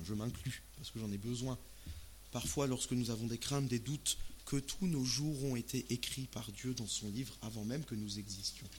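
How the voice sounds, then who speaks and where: A man from Alsace preaching a sermon in casual, expressive, conversational language, often asking rhetorical questions. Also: mid-range voice, a little hiss or hum. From Alsace, France. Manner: expressive, uses rhetorical questions and acted-out asides.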